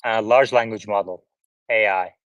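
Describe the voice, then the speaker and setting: Host, man, late 30s, grew up in Turkey; lowish voice, dry close sound, curious and questioning. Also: steady tone, moderate pace, a bit bright, no room sound. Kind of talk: casual chat.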